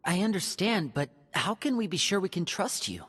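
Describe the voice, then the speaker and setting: An actress playing a level-headed, cautious woman adventurer who speaks in a steady, reasoning, alert way. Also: lowish voice, normal speed, slightly rough, exaggerated voice. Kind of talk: game voice acting.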